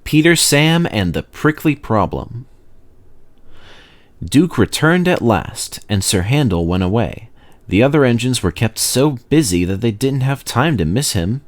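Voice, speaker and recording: A man narrates a children's storybook in a fairly low voice. His reading is expressive and scripted, with pitch that rises and falls in a very lively way. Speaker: narrator, reader of children's stories.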